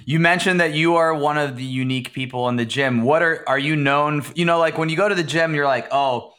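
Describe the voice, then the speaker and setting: A man's voice: fairly high pitch, a little fast, fairly bright tone. A man hosting a casual interview, asking long, rambling, friendly questions.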